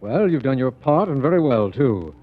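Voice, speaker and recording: A man's voice: medium pitch, very animated, moderate pace. Formal lawman on thin old radio. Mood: satisfied, resolute. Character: official male lawman, brisk and satisfied ally. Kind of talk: 1940s radio mystery acting. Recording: thin, phone-like vintage radio recording.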